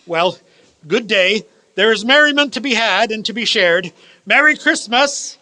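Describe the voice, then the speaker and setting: A man's voice: high-pitched, expressive, measured pace, bright, forceful tone, exaggerated voice. A man playing an elderly reformed miser in a radio play, speaking with emphatic, theatrical, old-fashioned formality. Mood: giddy, joyful.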